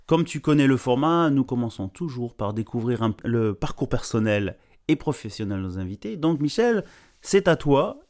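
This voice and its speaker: A man's voice: mid-range voice, big pitch swings, normal speed, a little mellow. A man speaking as a podcast host, presenting and interviewing in a conversational style.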